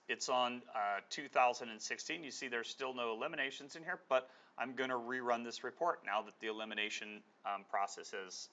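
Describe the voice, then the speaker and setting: A man's voice: medium pitch, sharp tone. A man giving an informal screen-share product walkthrough as a demonstrator, explaining step by step in a conversational way. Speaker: software demonstrator.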